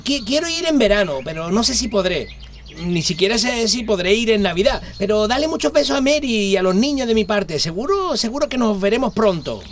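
Earnest, high-voiced idealist brother. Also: male voice, big pitch swings, brisk. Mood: apologetic. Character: earnest, idealistic brother, aid worker in the jungle. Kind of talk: radio play acting. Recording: faint noise, jungle birdsong.

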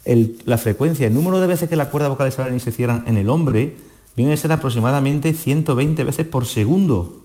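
A man with a dark, mellow voice speaks as a medical guest on the radio. He explains in a didactic way, repeating key phrases to drive them home. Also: medium pitch, a little fast. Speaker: doctor, voice specialist guest.

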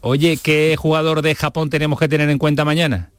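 Male radio host, Andalusian accent, slightly high voice, dry sound. Bantering, casual interviewer. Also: little pitch movement, fairly quick. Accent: Andalusian Spanish. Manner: jovial, bantering, echoes guests' words.

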